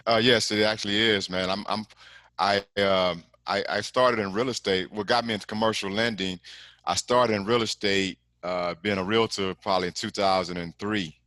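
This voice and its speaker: A middle-aged man from Texas speaks as a guest over a video call. His voice is low but bright and forceful. He talks in a loose, rambling way, tossing in "man" and "you know what I'm saying." The sound is close and dry. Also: steady tone, moderate pace, no echo. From Galveston, Texas. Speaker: guest, commercial lender.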